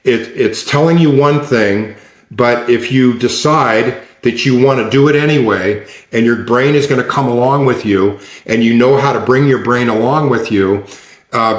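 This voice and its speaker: A man coaching as a guest, explaining things in a measured, patient way and repeating phrases. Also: medium pitch. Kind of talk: explaining in an interview. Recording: echoey room.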